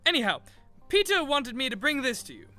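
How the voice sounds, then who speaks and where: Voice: sits high, wildly swooping pitch, brisk, pushed and bright, soft background music, exaggerated voice. An actor playing a cheery, scatterbrained, bearded adventurer in a fantasy audio drama. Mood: breezy, cheerful. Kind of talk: scripted audio drama dialogue.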